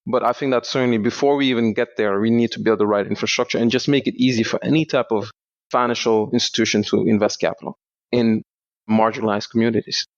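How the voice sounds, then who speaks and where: A young man from Senegal, a startup founder, explaining his work in a measured way in a podcast interview. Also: on the low side, steady tone, no room sound. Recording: dull lo-fi dry sound.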